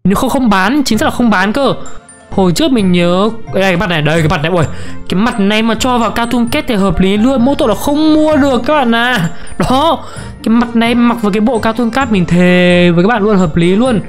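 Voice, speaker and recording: A young woman giving casual, playful game commentary to her viewers, giggly and often repeating words in quick bursts. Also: on the high side. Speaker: gaming video creator. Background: music.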